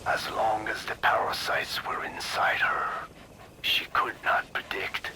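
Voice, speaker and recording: An actor playing a grave elderly man who keeps secrets in a video game, speaking in a hushed, raspy, halting and deliberate way. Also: in a whisper, close to an everyday voice, rough recording. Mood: grave, confessional. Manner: slow, deliberate, halting delivery. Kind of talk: dramatic video game dialogue.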